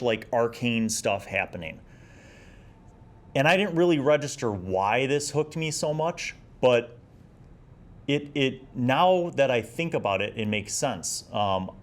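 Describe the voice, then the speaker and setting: An American man in his forties hosting a casual, nostalgic review vlog, speaking in a reflective, enthusiastic way. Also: mid-range voice. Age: 40s. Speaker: host of a retro gaming video series.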